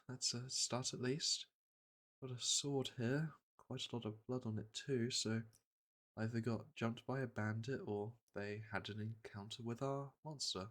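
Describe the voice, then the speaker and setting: Guy doing a veteran monster hunter for fantasy asmr, soft spoken but bright voice, kinda hesitant, caring and reassuring. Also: medium pitch. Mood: relieved, assessing. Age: middle-aged. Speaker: roleplay voice actor.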